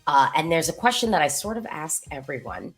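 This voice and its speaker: A woman's voice: sits low, big pitch swings, fairly quick, faint music behind. An American woman interviewing a guest in a casual, chatty way, her questions full of fillers. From United States.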